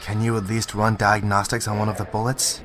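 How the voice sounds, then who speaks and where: An actor playing a hot-tempered, frustrated police detective in an amateur radio drama. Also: male voice, fairly low pitch, little pitch movement, fairly quick, crowd noise, close to an everyday voice. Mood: weary, serious. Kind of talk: amateur audio drama acting.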